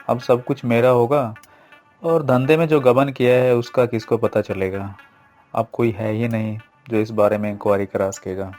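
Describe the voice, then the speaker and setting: A man's voice: mid-range voice, darkish tone, lightly acted. A man playing a treacherous secretary, a boastful plotting villain in a storybook tale. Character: scheming, gloating villain, treacherous company secretary.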